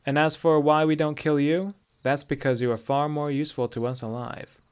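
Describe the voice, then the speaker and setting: A man's voice: a little high, level tone, normal speed, clear, resonant tone, close to an everyday voice. A man voicing a stern, unyielding Imperial commander in a fantasy story, curt and firm. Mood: cool, menacing.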